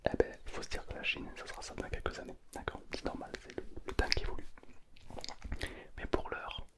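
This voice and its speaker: A man, giving a playful whispered ASMR lesson as a language teacher. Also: fairly quick. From southern France. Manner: playful, joking asides.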